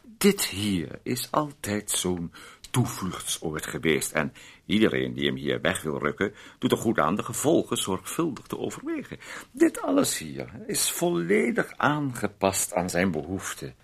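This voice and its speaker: A man's voice: sits low, wildly swooping pitch, unhurried, gravelly, husky, exaggerated voice. An actor playing a fussy, manipulative man who poses as a caring guardian in a radio play, talking in a wordy, insinuating, condescending way with tag questions. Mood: smooth, confiding.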